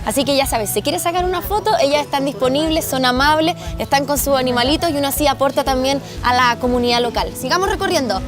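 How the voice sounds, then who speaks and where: High-pitched Chilean woman hosting on location. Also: fast, hardly any pauses. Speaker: travel show host. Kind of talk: casual on-location travel commentary. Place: outdoors in a town and valley. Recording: music laid over the voice.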